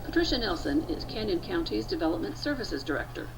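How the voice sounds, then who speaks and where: American woman narrating a news science piece, lowish voice, really lively intonation, bit of noise behind. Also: quick pace, vocal fry.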